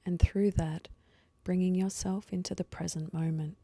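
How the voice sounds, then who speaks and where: A woman leading a guided meditation with slow, soothing instructions and pauses, her voice soft and at times breathy. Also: fairly low pitch, fairly even intonation, no echo.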